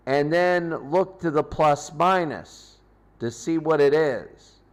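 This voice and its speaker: A man hosting an unscripted sports recap, talking in halting short phrases as he thinks aloud. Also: fairly high pitch. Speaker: host recapping football tournament results.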